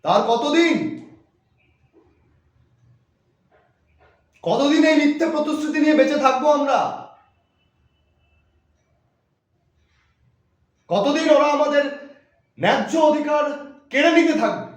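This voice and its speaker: A man's voice: very high-pitched, fairly quick. A man playing a righteous, indignant opposition politician in a theatrical monologue, declaiming emphatically phrase by phrase to rally wronged voters. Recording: very echoey, reverberant room sound.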